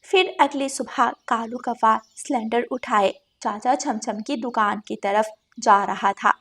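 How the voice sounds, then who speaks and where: A woman's voice: fairly high pitch, measured pace, a bit bright, husky. A woman narrating a scripted children's moral story.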